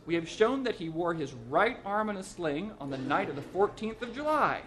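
A man voicing a combative courtroom lawyer in a storybook, forceful and declamatory. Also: high voice, sing-song, moderate pace, voice pushed up. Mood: forceful objection.

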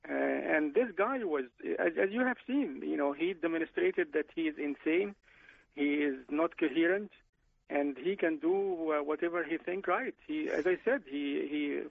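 Radio guest from Egypt on a phone line, gravelly, slightly high voice, lots of uh's. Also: male voice, no echo. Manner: halting, many "uh" fillers and restarts. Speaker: guest economics professor.

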